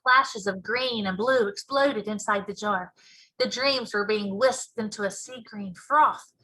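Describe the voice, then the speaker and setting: A woman's voice: mid-range voice, unhurried, pushed and bright, breathy edge, rough recording. A woman narrating a storybook as a teacher reading aloud.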